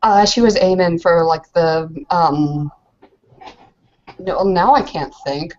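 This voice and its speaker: A woman's voice: lowish voice, takes it slowly, a little mellow, lo-fi sound. A woman playing a tabletop roleplaying game, chatting informally as she explains her character's moves. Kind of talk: casual game chat.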